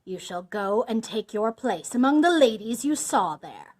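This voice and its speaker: Storybook villain, played by a woman: murderous husband, loud, slow imperious orders, highly animated delivery. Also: natural voice. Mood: accusing, menacing. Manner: loud, imperious, drawn-out commands. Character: menacing, wrathful husband and wife-killer, an adult man. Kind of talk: dramatised fairy-tale storybook reading.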